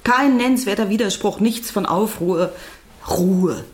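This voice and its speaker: An actress playing a stern, earnest writer's wife who admonishes in precise speech in a radio drama. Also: female voice, medium pitch, measured pace, lightly acted. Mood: stern, insistent.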